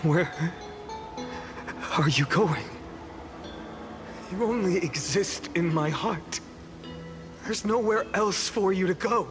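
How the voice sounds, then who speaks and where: An actor playing an anguished, grimly resolute young male hero in a video game, halting and intense, with a breathy, gravelly undertone. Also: sits high. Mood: distressed. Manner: halting, intense, heavy delivery. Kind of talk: dramatic video game voice acting. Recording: studio voice over loud music.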